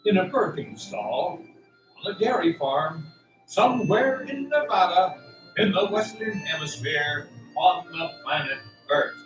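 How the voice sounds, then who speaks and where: A man's voice: high-pitched, fairly bright tone. A man narrating an audio drama as a scripted storyteller. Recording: roomy, distant sound.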